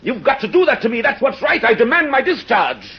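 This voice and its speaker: An actor playing a stern, self-punishing police inspector in old radio drama style, formal, clipped and intense. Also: male voice, extremely high pitch, wildly swooping pitch, fairly quick, creaky, breathy edge, heavily acted. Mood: anguished, vehement. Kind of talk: 1930s radio drama acting. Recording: muffled vintage radio recording.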